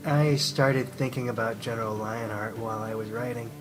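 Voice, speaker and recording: A man's voice: medium pitch, fairly even intonation, moderate pace, lightly acted, rough recording. A man playing a gloomy, timid, earnest dragon with a hesitant delivery in a radio play. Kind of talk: radio drama monologue and dialogue. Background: loud music bed.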